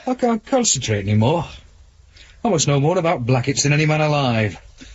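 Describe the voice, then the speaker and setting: An actor playing a weary, grumbling junior British detective in a 1970s radio drama, informal and complaining. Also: male voice, fairly high pitch, big pitch swings, fairly quick. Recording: muffled, noisy vintage radio broadcast.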